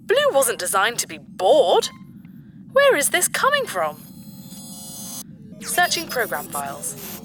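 A woman's voice: a little high, huge pitch swings, slow pace, pauses often, fairly bright tone, faint music behind, exaggerated voice. A woman playing a childlike, mischievous sentient spaceship computer in a sci-fi audio drama, sing-song, slipping into clipped computer announcements. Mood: puzzled, bored. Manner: speaks of herself in third person, sing-song, switching to clipped computer announcements. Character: childlike, mischievous female ship computer that has become sentient.

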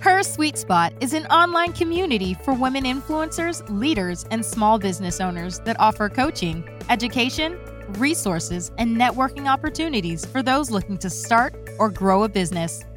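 A woman's voice: on the high side, expressive, moderate pace, hardly any pauses, fairly bright tone. Woman reading a promo over music. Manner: polished, promotional delivery. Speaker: announcer. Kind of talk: scripted promo read. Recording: voice-over with faint music bed. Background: faint music.

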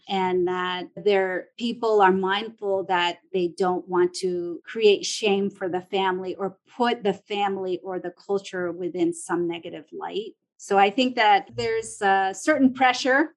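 Female interview guest, medium pitch, thoughtful and explanatory, unscripted expert delivery.

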